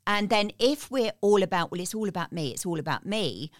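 A woman's voice: medium pitch, lively intonation, a little fast, no echo, studio quality. A woman guest on a podcast, earnestly explaining energy techniques and repeating her key words. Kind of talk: podcast interview.

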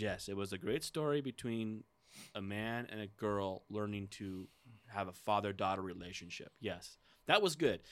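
A man's voice: lowish voice, no room sound. A man talking informally as a podcast co-host in a casual, conversational chat.

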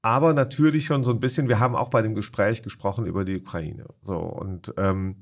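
Low-voiced man hosting a podcast. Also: fairly even intonation, a little fast, gravelly. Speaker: podcast host. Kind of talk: conversational interview.

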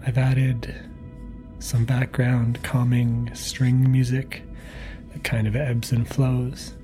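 A man introducing a bedtime podcast episode in a hushed, unhurried manner. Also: medium pitch, completely flat delivery, music in the background.

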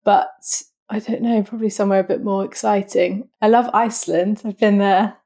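A woman's voice: medium pitch, steady tone, fairly quick, creaky, warm tone. A young woman chats in a casual, friendly way. She asks curious follow-up questions and laughs easily. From North London, England. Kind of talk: casual conversation between friends.

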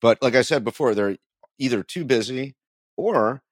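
A man's voice: mid-range voice, normal speed, no echo, studio quality. A man hosting an interview podcast in a conversational way, thinking aloud and rephrasing his questions as he goes.

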